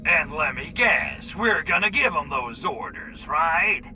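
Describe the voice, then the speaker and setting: An actor playing a wisecracking, cocky, lecherous alien invader in a sardonic comic video game style. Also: male voice, a little high, huge pitch swings, slow, music in the background, full character voice. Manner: sardonic wise guy.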